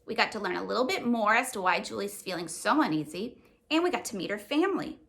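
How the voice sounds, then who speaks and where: A woman's voice: medium pitch, animated, quick pace, vocal fry, a bit bright. A woman hosting a children's read-aloud, narrating and explaining things simply in a teacherly way.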